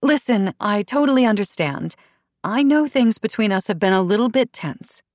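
Female narrator as anxious pregnant woman, friendly, conciliatory, lifted pitch, audiobook dialogue. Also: animated, normal speed, no echo, close to an everyday voice. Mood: nervous. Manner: nervous, conciliatory.

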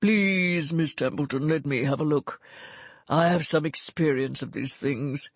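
A woman voicing a smooth-talking, flattering Regency gentleman, one of the figures in a read romance story. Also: low voice, normal speed, no echo. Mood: suave. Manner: suave, fulsome compliments.

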